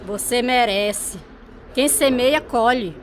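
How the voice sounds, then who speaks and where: A woman's voice: fairly high pitch, takes it slowly. An actress playing a harsh, bitter middle-aged mother in a Brazilian Portuguese radio drama, with a scolding, cutting delivery. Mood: cold scorn. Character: harsh, bitter mother, spiritist, scornful and unforgiving.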